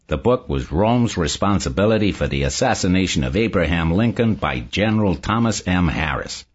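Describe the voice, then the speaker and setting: Husky, deep, animated investigator acted by a man. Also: normal speed, exaggerated voice. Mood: explaining. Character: confident, eager male investigator explaining conspiracy theories. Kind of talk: audiobook character dialogue. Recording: dull lo-fi recording.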